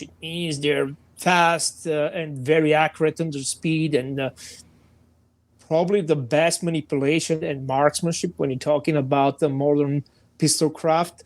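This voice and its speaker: A man with a Bulgarian accent, his voice pitched a little high for a man, speaking on a podcast as a guest instructor. He pauses a lot with "uh" while he searches for words. The sound is dry and close. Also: a little mellow, dry recording. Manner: many hesitations, frequent "uh". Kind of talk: podcast interview.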